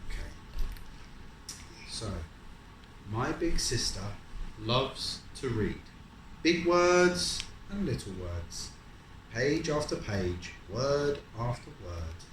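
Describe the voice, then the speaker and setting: Animated storybook reader in a roomy space. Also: mid-range voice, takes it slowly, long pauses. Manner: encouraging, asks guiding questions and confirms answers. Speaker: adult reading a picture book to a young child. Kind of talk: storybook read-aloud mixed with casual questions to a child. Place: echoey room at home. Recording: roomy echo, some background noise.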